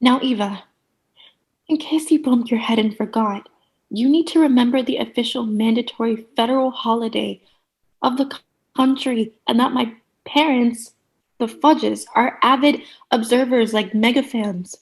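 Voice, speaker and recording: A woman's voice: fairly high pitch, moderate pace, lightly acted, poor audio. A woman playing a relentlessly cheery, bossy sitcom character in a comedic radio play, theatrical, gushing and condescending. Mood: gushing, insistent.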